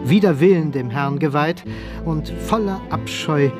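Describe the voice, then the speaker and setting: A man narrating a radio play in an expressive, literary storytelling style. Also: fairly high pitch, a little slow, loud music behind the voice.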